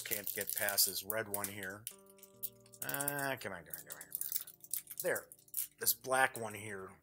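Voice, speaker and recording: A man's voice: mid-range voice, sharp tone. A man hosting a board game show, giving casual unboxing commentary in a chatty way, thinking aloud as he goes.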